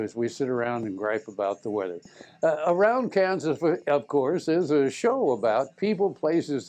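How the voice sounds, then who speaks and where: A man's voice: mid-range voice, darkish tone. An American man chatting casually as a show host, in a folksy, conversational way. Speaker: TV show host and internet radio DJ. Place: radio studio.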